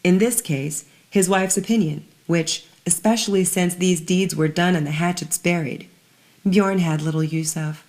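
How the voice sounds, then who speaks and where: A woman narrating scripted fiction in a measured, dramatic storytelling manner, letting some phrases fall hushed. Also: lowish voice.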